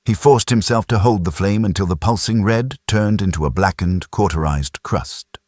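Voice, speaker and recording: A man's voice: deep voice, normal speed, darkish tone, no echo, clean studio sound. A man reading dramatic science fiction as an audiobook narrator, with grave, intense storytelling delivery. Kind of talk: dramatic scripted sci-fi narration.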